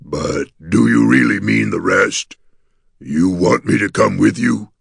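A timid, gentle gargoyle from a fantasy storybook. The voice is very low and extremely gravelly, with a husky rasp. He speaks slowly and mournfully, like a glum but kindly monster. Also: expressive, no echo, clean studio sound, full character voice. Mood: amazed, hopeful. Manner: slow, mournful delivery.

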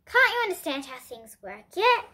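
Young girl as an insistent, imaginative storybook boy, bright-voiced. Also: very high-pitched, very animated, moderate pace, voice pushed up, heavily acted. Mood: exasperated, insistent. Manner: insistent, exclamatory.

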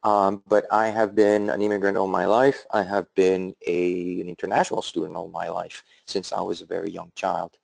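A middle-aged man with a European accent presents in a webinar, his voice deep and gravelly. He speaks thoughtfully and haltingly, with plenty of "uh"s and self-corrections. The sound is close and dry. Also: flat delivery, a little mellow, no echo, low-quality recording. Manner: thoughtful, halting, with frequent fillers and restarts. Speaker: academic leader presenting.

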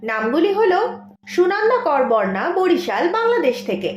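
Female announcer, high pitch, scripted quiz read-out, roomy echo. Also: big pitch swings, normal speed, highs cut off, slight background noise. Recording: roomy, echoing voice-over.

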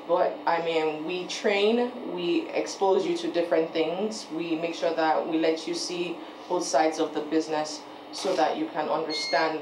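A woman with a lowish voice, from Nigeria, talks through her work as a head chef. She sits at her office desk and speaks conversationally, often breaking off to restart a thought. The room rings noticeably, and steady background noise sits under her voice. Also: roomy sound. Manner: relaxed and conversational, with frequent restarts and self-corrections. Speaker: head chef and business owner. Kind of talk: seated interview answers. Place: office, indoors.

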